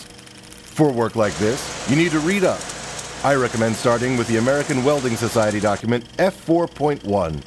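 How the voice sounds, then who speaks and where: A young man presenting a scripted workplace safety video in a wry, deadpan joking manner, recorded in a large industrial workshop. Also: mid-range voice, background noise. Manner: wry, deadpan jokes between safety tips. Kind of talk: scripted comedic safety narration.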